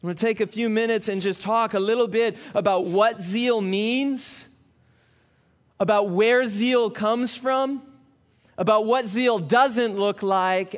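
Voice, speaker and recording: A man with a fairly high voice gives a Sunday sermon. He reads scripture in a slow, measured way, then moves into relaxed, conversational teaching with asides to the congregation. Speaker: pastor.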